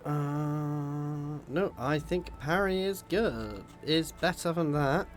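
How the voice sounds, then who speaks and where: A man with a slightly hoarse voice giving relaxed, casual commentary as he plays a video game. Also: fairly high pitch, slow pace, soft background music. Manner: relaxed, muttering asides.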